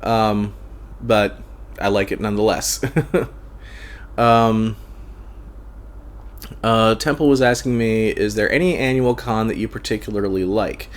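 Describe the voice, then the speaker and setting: A man hosting a casual live book club stream, a little congested, talking in a relaxed, hesitant way full of fillers as he reads viewer comments aloud. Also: on the low side, unhurried, pauses often. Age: adult, long-time convention goer.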